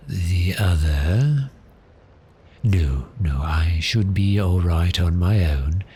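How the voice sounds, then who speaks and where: Casting: male actor for a genteel, pleasant male vampire in ASMR roleplay, bottomless bass register, faint noise behind the voice. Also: exaggerated voice. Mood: calm, courteous. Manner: polite, unhurried, softly genteel with measured pauses. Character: cheerful, courteous male vampire posing as a bookshop customer.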